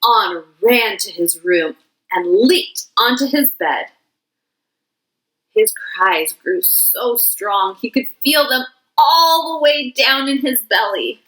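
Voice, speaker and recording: A woman teacher reading a children's picture book aloud in an expressive way. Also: a little high, rough recording. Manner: slow, expressive. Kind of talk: children's storybook read-aloud.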